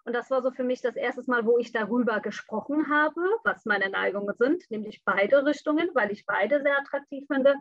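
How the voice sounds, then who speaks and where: A young woman who grew up in Bangladesh speaks frankly as an interview guest, pausing often with fillers. Her voice sits a little high. The recording is dry and somewhat dull. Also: a bit bright, dry recording. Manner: open, frank and reflective, with frequent fillers. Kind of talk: conversational interview.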